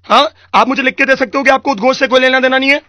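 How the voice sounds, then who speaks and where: A man's voice: very high-pitched, steady tone, racing, bright, forceful tone, dry recording. A man with an Indian accent playing an irate, pushy vendor who badgers and repeats demands on a prank phone call. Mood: accusatory. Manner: badgering, repetitive, accusatory. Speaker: prank caller. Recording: dull lo-fi radio recording.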